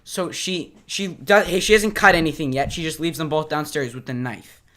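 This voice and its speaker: A male podcast co-host telling a goofy improvised story, silly and jumping between whispers and shouts. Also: on the high side, normal speed.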